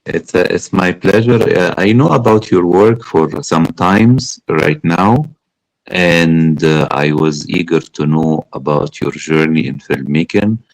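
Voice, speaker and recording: A man hosting a conversational interview, speaking haltingly with repeated starts. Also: sits low, no echo, low-quality recording. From Egypt. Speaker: interviewer, film critic.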